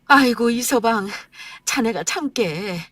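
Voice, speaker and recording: A woman voicing a gentle mother in her sixties who soothes and apologizes, with slow old-fashioned speech. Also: fairly high pitch, animated, no echo, pitched above the performer's own voice, exaggerated voice. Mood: placating. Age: 60s. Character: elderly mother, gentle and anxious to keep peace.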